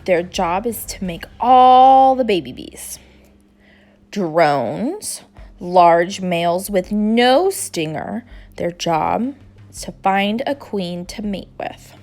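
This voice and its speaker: A woman reading a children's storybook aloud in an expressive, deliberate way, acting out the dialogue. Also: mid-range voice, soft background music. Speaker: storybook reader.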